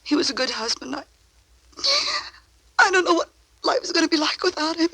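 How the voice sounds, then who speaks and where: An actress playing a melodramatic, distraught new widow in 1940s radio drama style. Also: female voice, sits high, lively intonation, a little fast, vocal fry, sharp tone, no room sound, full character voice, poor audio. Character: hysterical newly widowed wife in a murder mystery.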